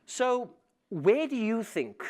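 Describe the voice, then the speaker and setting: A man's voice: very high-pitched, very animated, slow pace, darkish tone, heavily acted. A young man acting a probing interviewer in a live stage play, putting pointed questions in turn. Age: young adult.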